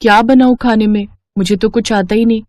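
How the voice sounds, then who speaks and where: A woman's voice: a little high, fairly even intonation, brisk, darkish tone, dry recording, lightly acted. A woman voicing a hapless young daughter-in-law, anxious and complaining, in a cartoon story. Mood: worried. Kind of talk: Hindi cartoon story dialogue.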